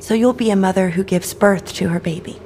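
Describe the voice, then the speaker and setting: A woman's voice: medium pitch, fairly quick, darkish tone, a little hiss or hum, close to an everyday voice. A young woman playing an innocent, wondering young woman from another world in a video game cutscene. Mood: wondering, earnest. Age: young adult.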